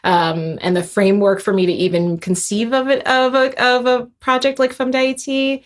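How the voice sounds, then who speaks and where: A woman's voice: fairly high pitch, little pitch movement, moderate pace. A young adult woman, a composer guest, speaking thoughtfully with many fillers and restarts. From Haitian heritage. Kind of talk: reflective interview talk.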